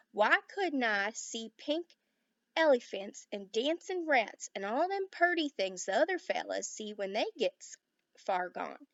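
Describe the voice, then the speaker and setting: Loud, drawling little cowboy with a put-on Texas twang, grumbling and self-pitying, as a teacher reads him out. The drawl is slowed and pushed, the sound dry and a bit dull. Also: female voice, sits high, no echo.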